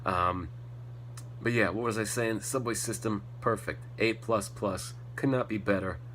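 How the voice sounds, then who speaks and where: A low-pitched American man hosts a solo podcast in a loose, rambling, blunt style peppered with swearing. He sounds a little stuffed up from a cold. The audio is poor, with a faint hum and noise underneath. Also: unhurried, fairly bright tone. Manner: blunt, ranting, heavy casual swearing. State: slightly congested from a cold. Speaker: podcast host. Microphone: faulty setup, not the intended microphone.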